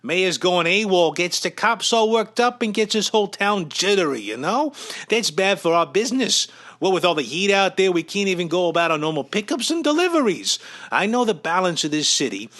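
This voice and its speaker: A man's voice: high-pitched, very animated, normal speed, a bit bright. A man playing a slippery, falsely courteous crime boss with slangy, wheedling tough talk in an old-time radio drama style. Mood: earnest, defensive.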